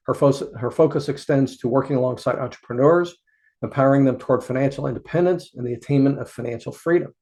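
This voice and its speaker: Male podcast host, friendly and hesitant, average pitch. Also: warm tone. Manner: friendly, hesitant, many fillers and restarts when asking. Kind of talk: podcast interview.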